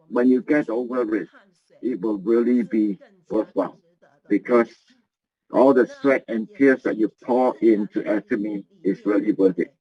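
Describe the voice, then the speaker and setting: A man giving a motivational business talk, earnest and halting, often repeating and restarting his phrases. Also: medium pitch. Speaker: business presenter. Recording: muffled lo-fi recording.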